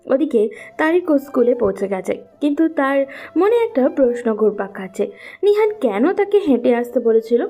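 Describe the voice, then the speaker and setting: A woman's voice: sits high, very animated, moderate pace, mellow, soft background music. A woman narrating a scripted romantic story as a storyteller.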